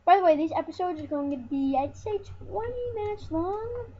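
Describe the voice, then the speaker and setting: A high-voiced speaker giving casual let's play commentary as host of a retro gaming series, rambling and often restarting phrases. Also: animated, moderate pace, dark tone. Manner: rambling, repeats and corrects phrases. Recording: muffled, noisy home recording.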